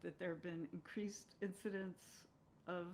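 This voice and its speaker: A woman, a guest on a show, chatting casually and thoughtfully, pausing mid-sentence as she works out her point. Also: fairly low pitch, steady tone, darkish tone, slight background noise. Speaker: guest, stage and screen actress. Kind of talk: casual conversation.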